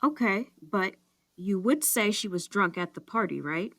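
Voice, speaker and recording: A woman's voice: medium pitch, expressive, measured pace, fairly bright tone, no room sound, studio quality. An actress playing a firm, courteous female police detective in an audio drama. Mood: probing.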